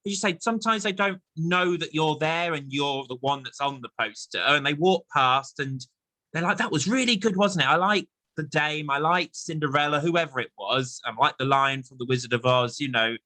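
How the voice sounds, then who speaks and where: A man's voice: fairly high pitch, moderate pace, bright, forceful tone, no room sound, rough recording. A man hosting a casual, chatty interview, asking questions and agreeing along. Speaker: host.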